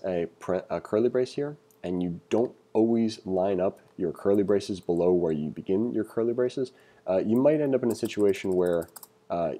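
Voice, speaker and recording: Male coding instructor, low and very soft-edged, relaxed tone, informal tutorial read-along. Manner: casual, thinking aloud.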